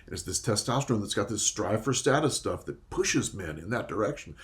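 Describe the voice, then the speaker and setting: A man with a fairly low voice and very lively intonation chats as a discussion panelist. He is casual and opinionated, repeating phrases to drive them home. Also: a little fast.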